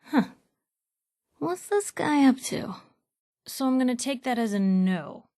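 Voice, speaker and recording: A woman's voice: high voice, sing-song, measured pace, dark tone, dry recording, heavily acted. An actress playing a plucky, sassy young woman reporter in a video game, with a wry, teasing, conversational way of talking. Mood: wry, playful. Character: plucky young woman reporter, sassy, warm-hearted, in a post-apocalyptic wasteland. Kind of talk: video game voice acting, conversational dialogue lines.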